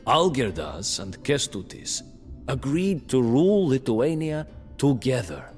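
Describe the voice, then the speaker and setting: An actor playing a bitter, proud imprisoned nobleman who recounts his downfall as haughty, theatrical, deliberate narration in a video game. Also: medium pitch, very animated, darkish tone. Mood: grave, proud. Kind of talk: dramatic storytelling narration for a strategy game cutscene. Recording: long reverberant hall, music underneath.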